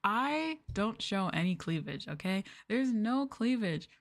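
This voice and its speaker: A woman's voice: medium pitch, sing-song, unhurried, vocal fry. A woman streaming as a VTuber, chatting casually in a rambling, giggly way with lots of filler words. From United States. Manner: giggly and playful.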